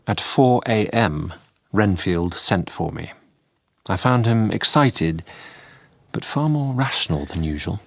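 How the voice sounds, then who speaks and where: A man's voice: lowish voice, lively intonation, takes it slowly, vocal fry, heavily acted. An actor playing a grave Victorian asylum doctor, narrating his diary in an audio drama. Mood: measured, grave.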